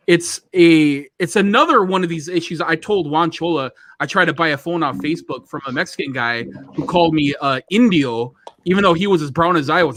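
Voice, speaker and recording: A man's voice: fairly high pitch, no room sound. An American man hosting a podcast, telling a story casually, acting out the dialogue and saying "you know" a lot. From United States. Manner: animated, acts out dialogue, many "you know"s.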